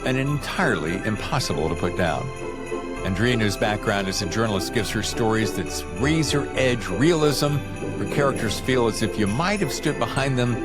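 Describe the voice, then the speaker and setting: An American man hosting a podcast, talking in an effusive, jokey way. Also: on the low side. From United States. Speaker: podcast host. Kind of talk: scripted intro and casual interview chat.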